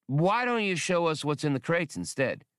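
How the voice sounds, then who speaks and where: Smuggler captain, read by a male narrator: wry, brisk, casual, raised pitch, space adventure audiobook. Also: huge pitch swings, a bit bright, no echo, clean studio sound.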